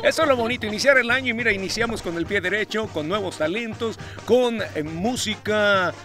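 A man's voice: high-pitched, brisk, over music. A man hosting outdoors with a handheld mic, joking and chatting in a playful, wordy, folksy way. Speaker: host. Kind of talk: upbeat show hosting with jokes. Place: outdoor fairground patio.

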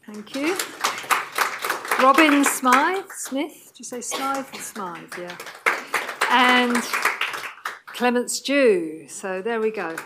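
A woman's voice: on the high side, expressive, extremely slow, long pauses, heavy background noise. A woman moderating a panel in a university seminar room, informal and friendly as she introduces the speakers. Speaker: event moderator.

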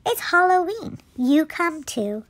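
A woman's voice: extremely high pitch, big pitch swings, slow, a bit bright, exaggerated voice. A woman voicing a friendly little truck from a children's storybook, with bouncy exclamations. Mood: cheerful.

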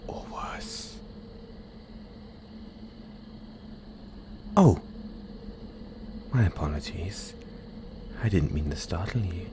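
A man playing a playful, menacing, possessive werewolf in an audio roleplay, teasing the listener in a breathy, half-whispered, predatory way. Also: sits low, expressive, takes it slowly, heavy background noise, full character voice. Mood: mock-apologetic, menacing.

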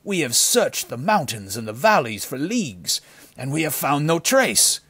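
A man's voice: a little high, very animated, normal speed, a bit bright, husky, no echo, voice pushed up, full character voice. A man voicing a sullen, grim and exasperated bandit henchman in a storybook, speaking emphatically.

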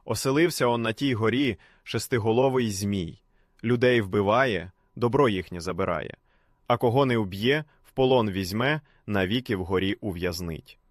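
A man's voice: on the low side, moderate pace, no echo, studio quality, lightly acted. A man voicing humble, oppressed villagers in a folk tale. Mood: fearful. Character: frightened, oppressed villagers, grateful to their rescuer.

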